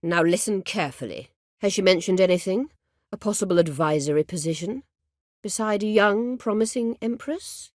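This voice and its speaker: An actress playing an imperious, scheming middle-aged sorceress in a video game, speaking formally and with measured, biting sarcasm. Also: female voice, mid-range voice, lively intonation, no room sound, clean studio sound, close to an everyday voice. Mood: measured, persuasive. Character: imperious, scheming sorceress, proud, sardonic and vengeful. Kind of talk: video game character dialogue.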